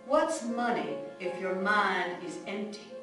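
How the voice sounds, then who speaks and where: A woman's voice: mid-range voice, lively intonation, takes it slowly, music in the background. A blunt immigrant mother, echoey. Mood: curt, instructing. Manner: plainspoken, colloquial storytelling with curt commands. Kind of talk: radio drama monologue. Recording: echoey room, distant microphone.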